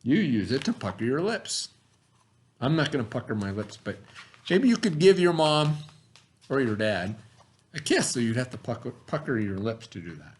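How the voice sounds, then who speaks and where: A man teaching by reading aloud to pupils, pausing to explain in casual asides and check that they follow. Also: medium pitch, sing-song. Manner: pauses to explain, checks in with "okay?".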